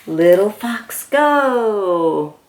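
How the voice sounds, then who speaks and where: A woman reading a picture book aloud to young children as a host, with excited, encouraging exclamations. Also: mid-range voice, extremely slow, slight background noise.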